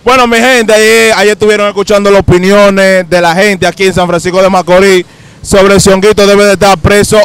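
High-voiced Dominican man hosting street interviews. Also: a little hiss or hum. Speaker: street interviewer and show host. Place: outdoors on a busy street by open shopfronts. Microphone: handheld microphone.

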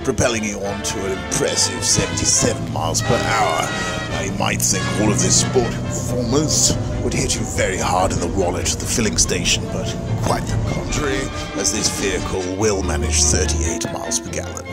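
A man's voice: sits low, moderate pace. A man playing an earnest, proud British newsreel announcer, narrating a spoof vintage motoring film in a formal, pompous, slightly hesitant way. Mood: proud, promotional. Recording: loud music over the voice.

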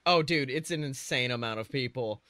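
A man's voice: medium pitch, a little fast, bright, forceful tone, dry recording. A young man co-hosting a podcast, chatting casually and comedically with slangy, profane talk. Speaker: podcast co-host.

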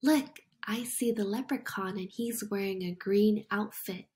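A woman reading a picture book aloud to young children in an expressive, deliberate storytelling manner. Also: medium pitch. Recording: music behind the voice. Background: music.

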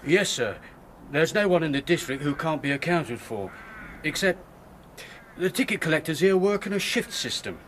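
An actor playing a deferential, plodding English country constable in a radio drama, dutiful and a little hesitant. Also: male voice, on the high side, lively intonation, moderate pace, fairly bright tone, slight background noise.

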